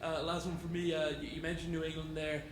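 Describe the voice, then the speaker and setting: A male reporter asks quick questions in a slightly high voice, restarting his phrasing as he goes. The room is echoey and noisy. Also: completely flat delivery. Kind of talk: press questions. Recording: echoey room with loud crowd noise.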